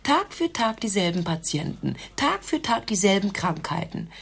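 A self-righteous medical receptionist in a radio satire. Her husky, breathy-edged voice sits at a middle pitch and delivers emphatic, officious lectures. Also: very animated, moderate pace, fairly bright tone, exaggerated voice. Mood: lecturing, officious. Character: stern, self-righteous doctor's receptionist woman. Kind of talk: satirical radio play monologue.

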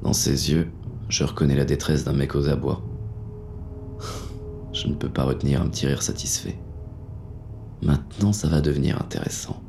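An actor playing a menacing, weary police inspector who narrates a noir audio drama in a gritty, terse, deliberate way. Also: male voice, extremely low pitch, fairly even intonation, creaky, mellow, a little hiss or hum. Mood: controlled, menacing. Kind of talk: scripted first-person noir audio fiction narration.

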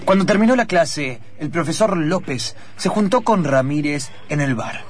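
A man's voice: on the high side, animated, normal speed, breathy edge. A man narrating an improvised radio theatre story.

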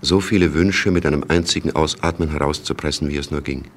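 A man narrating a radio play as a sober chronicler of wartime events, measured and factual. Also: extremely low pitch, fairly even intonation. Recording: clear background noise.

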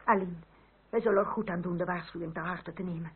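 A woman's voice: lowish voice, steady tone, fast, husky. An actress playing a haughty, middle-aged French royalist noblewoman in a Dutch radio drama, speaking in a formal, imperious, genteel manner. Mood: brisk, anxious. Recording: tinny, band-limited, hissy old radio recording.

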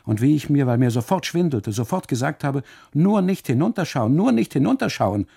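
An actor playing an uneasy husband on holiday in a literary radio monologue, narrating inwardly and haltingly in a gravelly, husky voice. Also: male voice, a little high, very animated, dark tone, exaggerated voice. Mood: alarmed. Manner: halting, brooding, deliberate phrasing with repetitions and dramatic pauses. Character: uneasy German husband on holiday, obsessively narrating his story.

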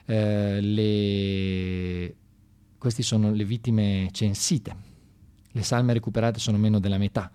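A man delivers a conversational theatrical monologue with a sardonic, colloquial, animated manner, moving between emphatic exclamations and quieter asides. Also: lowish voice, takes it slowly, clean tone. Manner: animated, swinging from shouted exclamations to hushed asides. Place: live theatre performance.

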